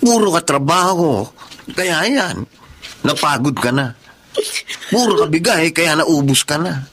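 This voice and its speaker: An actress playing a concerned, exasperated close friend in a radio drama, scolding yet caring and emphatic. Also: female voice, deep voice, expressive, unhurried, a bit bright, slight background noise. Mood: worried scolding. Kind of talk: Tagalog radio drama acting.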